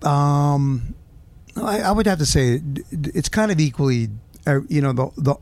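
A man's voice: fairly high pitch, expressive, normal speed, darkish tone, dry recording. A man from Southern California, a guest musician in a casual interview, rambling and searching for words. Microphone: handheld mic held close.

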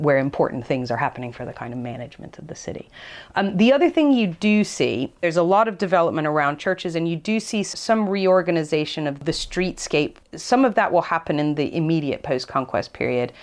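A woman speaking as an academic guest in a conversational interview, explaining things in a hesitant, thinking-aloud way. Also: low-pitched. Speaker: academic expert guest, archaeologist.

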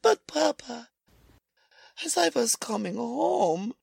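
A woman playing a timid, frightened German-American housewife in a 1940s-style radio drama, speaking haltingly. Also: a little high, sing-song, gravelly, a little mellow, breathy edge, dry recording, exaggerated voice. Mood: shaken. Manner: hesitant, halting delivery.

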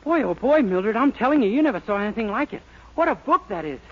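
A man's voice: extremely high pitch, expressive, quick pace, over music. An actor playing a cheerful, naive young salesman with brash, boyish patter in 1940s radio comedy style. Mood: excited. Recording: noisy, dull old 1940s radio recording.

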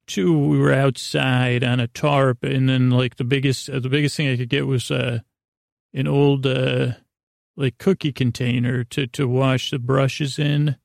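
A middle-aged man with a creaky, gravelly voice in a close, dry recording. He hosts a sleep podcast, wandering through a self-deprecating monologue, often stopping and restarting his thoughts. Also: medium pitch, level tone, dry recording. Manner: halting, meandering, self-interrupting. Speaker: podcast host.

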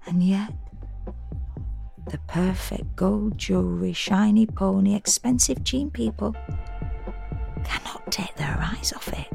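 A woman's voice: sits low, gravelly, darkish tone, heavily acted. An actress playing a sardonic, self-deprecating woman in an intimate, confiding first-person audio drama monologue. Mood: wry, hushed. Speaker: solo performer reading a dramatic monologue. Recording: music under the voice.

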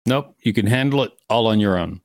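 A man's voice: lowish voice, lively intonation, a little fast, dry recording, studio quality. A man co-hosting a podcast, reading an article aloud with casual commentary. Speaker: podcast co-host.

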